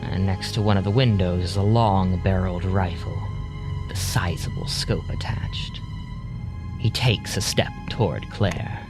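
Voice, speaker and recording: A man's voice: deep voice, a little slow. A man narrating an audio drama in a scripted, dramatic storytelling style. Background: music.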